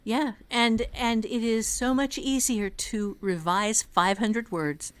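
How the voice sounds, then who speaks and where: A woman author and writing teacher talks in an unhurried, measured way. She is candid and self-deprecating, mocking her own early work with a mild curse here and there, in relaxed podcast conversation. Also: fairly high pitch, clear, resonant tone. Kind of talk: casual podcast chat.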